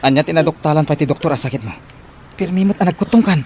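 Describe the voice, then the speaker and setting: A man's voice: fairly high pitch, quick pace, darkish tone, natural voice. A man acting a blunt, nosy, moralizing friend in an Ilocano audio drama, with an Ilocano accent. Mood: blunt, concerned. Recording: faint background noise.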